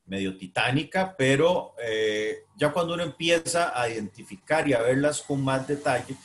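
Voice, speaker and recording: A middle-aged man with a Costa Rican accent delivers an academic lecture in a mid-pitched voice. He talks in a halting way, full of filler sounds and restarted phrases as he works through his points. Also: lively intonation, slight background noise. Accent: Costa Rican Spanish. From Costa Rica. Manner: hesitant, many fillers and restarts. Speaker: university lecturer.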